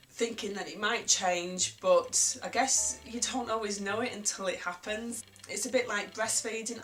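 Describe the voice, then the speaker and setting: A young woman with a croaky voice from a cold, talking gently and reflectively to camera in a casual vlog. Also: mid-range voice. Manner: gentle, reflective, repeats herself. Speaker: parenting vlogger.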